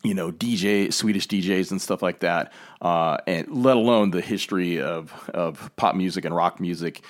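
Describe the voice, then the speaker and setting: A very deep-voiced American man hosts a relaxed travel conversation. He rambles a little, circling back with fillers and restarts. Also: normal speed. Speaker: podcast host. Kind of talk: casual travel chat.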